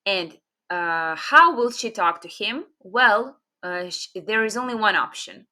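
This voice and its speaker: Serbian lady teaching english grammar, bright voice, slow and patient, lots of uhs. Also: medium pitch, lively intonation. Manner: patient, explains slowly with many fillers. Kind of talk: casual online grammar lesson.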